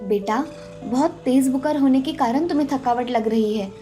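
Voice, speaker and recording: A woman playing a caring, gentle village mother in an animated moral story, tender and reassuring. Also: sits high, normal speed, natural voice. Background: faint background music.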